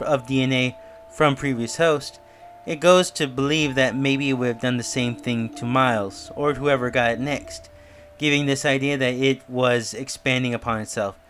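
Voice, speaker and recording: A man's voice: mid-range voice, a bit bright. Musing man reviewer over music. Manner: musing, speculative. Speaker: YouTube reviewer. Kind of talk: casual review and theory commentary.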